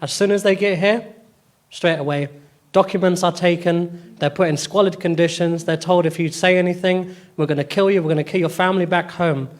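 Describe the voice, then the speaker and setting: A man's voice: high-pitched, fairly even intonation, a little fast. A man on a public panel who answers questions in a firm, explanatory way. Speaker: panel speaker.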